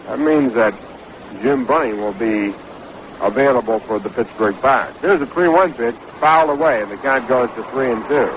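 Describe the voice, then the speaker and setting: A man doing measured, unhurried live baseball play-by-play commentary on a 1960s radio broadcast. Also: mid-range voice, background noise. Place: stadium broadcast booth.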